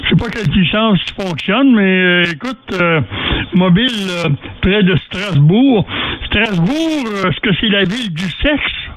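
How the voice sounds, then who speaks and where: High-pitched Quebec French man on ham radio. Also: lively intonation, a little slow, noisy background. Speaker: amateur radio operator leading a group contact. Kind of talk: casual radio chat.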